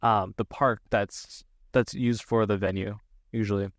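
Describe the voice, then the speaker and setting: A man's voice: lowish voice, fairly even intonation, measured pace, no echo, clean studio sound. A man hosting a casual conversation, talking in meandering, thoughtful phrases. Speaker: podcast host. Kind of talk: casual interview chat.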